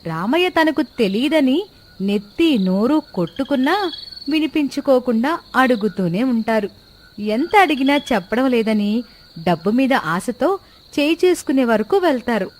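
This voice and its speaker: A woman's voice: fairly high pitch, animated, normal speed, slight background noise. A woman reading scripted narration as the narrator of a story.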